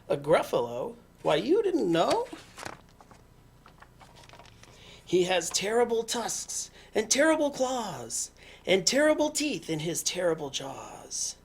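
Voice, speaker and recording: A woman's voice: medium pitch, huge pitch swings, a little slow, a little hiss or hum, voice pushed up. A woman voicing a small, clever brown mouse who bluffs politely and confidently, in a picture-book read-aloud. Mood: mock-ominous boasting. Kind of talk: animated picture-book character reading.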